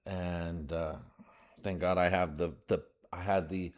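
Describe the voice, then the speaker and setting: A man's voice: low voice, level tone, unhurried. A man born in the United States hosting a podcast, rambling casually in slangy, profane talk with lots of fillers. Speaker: podcast host.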